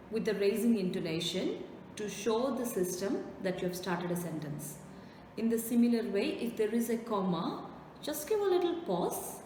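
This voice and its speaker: A woman's voice: fairly high pitch, darkish tone. A woman test-prep trainer giving an instructional lesson, didactic, repeating key points with emphasis and posing rhetorical questions. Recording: very echoey reverberant room, faint noise.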